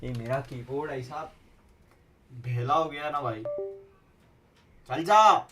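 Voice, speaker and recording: A man's voice: mid-range voice, very fast, sharp tone, soft background music. A man with an Indian accent hosting a livestream watch party, chatting casually and switching between Hindi and English. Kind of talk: casual live stream chat, mixing Hindi and English.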